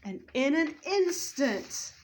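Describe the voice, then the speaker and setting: A woman's voice: high voice, very animated, takes it slowly, slight background noise. A woman narrating a children's story aloud from a script, expressively, with the odd stumble over the text. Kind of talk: scripted read-aloud narration for readers theater.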